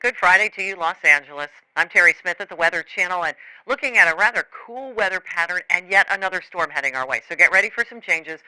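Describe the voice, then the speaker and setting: A man's voice: sits high, expressive, fast talker, sharp tone, clean tone, poor audio. A man presents a scripted weather forecast in an upbeat broadcast style.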